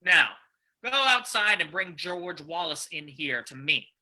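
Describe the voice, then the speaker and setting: A woman's voice: fairly low pitch, sing-song, a little slow, sharp tone, full character voice. A woman playing a ruthless, scheming male crime boss with curt, bossy Western talk in an old-time radio drama. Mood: commanding.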